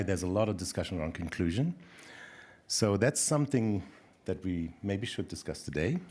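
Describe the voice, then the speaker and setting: A middle-aged man, a corporate executive, introducing himself on a panel. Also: sits low. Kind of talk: spoken self-introduction.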